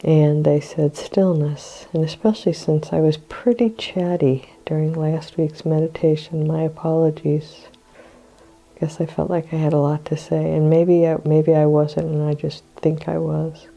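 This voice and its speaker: An older woman with a cold, hoarse and clearing her throat, guiding a meditation in a slow, soothing way. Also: sits low, level tone, mellow, poor audio. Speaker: meditation guide. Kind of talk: guided meditation.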